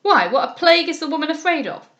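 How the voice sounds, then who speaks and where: A woman voicing a rough, bullying middle-aged English sea captain who talks loud and blustering. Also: high-pitched, big pitch swings, quick pace, pitched above the performer's own voice.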